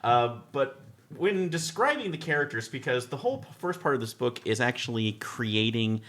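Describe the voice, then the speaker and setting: A man of ordinary, middle pitch speaks as a podcast panelist. He talks casually, explaining game material to the group. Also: lively intonation, normal speed, fairly bright tone.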